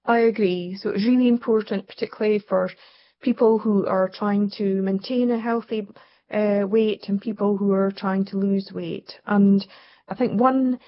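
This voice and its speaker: Brief: woman scientist, calm explainer, mid voice, dull broadcast audio. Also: mid-range voice, normal speed. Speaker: researcher guest. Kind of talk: radio interview answer.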